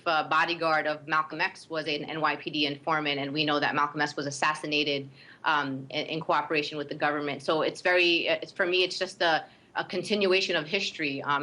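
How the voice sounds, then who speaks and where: Low, bright-voiced Brooklyn woman. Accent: Brooklyn New York. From Brooklyn, New York. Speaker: interview guest, community advocate. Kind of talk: spoken advocacy in an interview.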